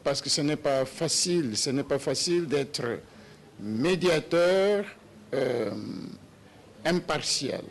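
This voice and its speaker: A man in his sixties from Nigeria, a papal nuncio, answering interview questions slowly with many hesitations and restarts. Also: fairly high pitch, expressive, creaky. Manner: slow and hesitant with many "euh" fillers and restarts. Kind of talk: slow, halting interview answers.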